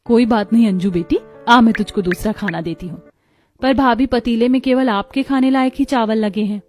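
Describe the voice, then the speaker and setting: A woman's voice: on the high side, normal speed, darkish tone, soft background music, close to an everyday voice. A woman voicing a caring, helpful young sister-in-law in a cartoon story.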